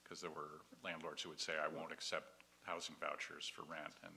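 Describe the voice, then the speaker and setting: A man explaining matters as a city official at a meeting, measured and matter-of-fact. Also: very deep voice, flat delivery, bright, forceful tone. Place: council meeting room. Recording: faint hum on meeting audio.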